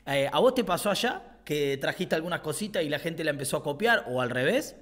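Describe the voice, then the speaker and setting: A man's voice: on the high side, animated, a little fast, fairly bright tone. A man in his thirties with an Argentine accent hosting an interview, asking long, rambling questions. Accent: Argentine Spanish. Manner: rambling questions, loose and friendly. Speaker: interview host. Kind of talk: casual interview. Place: small studio with brick wall. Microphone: studio mic on desk, headphones.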